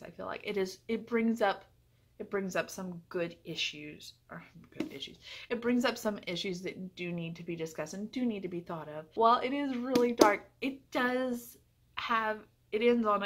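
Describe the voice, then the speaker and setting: A woman giving a casual book review to viewers in an earnest, chatty, emphatic way. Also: medium pitch.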